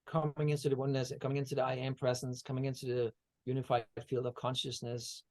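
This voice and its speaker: A man's voice: mid-range voice, little pitch movement, fast talker, darkish tone. A man from Denmark, a guest on a video-call interview, talking about energy healing in a meandering way with many fillers and restarts. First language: Danish.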